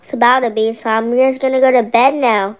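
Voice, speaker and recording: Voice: medium pitch, little pitch movement, fairly quick, clear, resonant tone, lightly acted. A performer voicing a scared young boy trapped in nightmares, talking to himself in casual slang in an amateur horror roleplay. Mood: wary, muttering.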